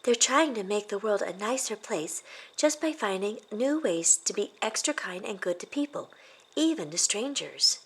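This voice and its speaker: A woman reading a children's picture book aloud as expressive story narration. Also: mid-range voice, moderate pace, slightly rough. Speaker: storybook reader.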